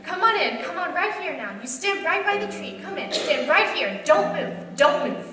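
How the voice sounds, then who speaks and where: Girl acting a thrilled loving wife in a radio play, super high voice, echoey theater far from mic. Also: lively intonation, normal speed. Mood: urgent joy. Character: loving, breathless, excited wife and mother. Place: school theater stage. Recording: distant, very echoey stage, music and crowd.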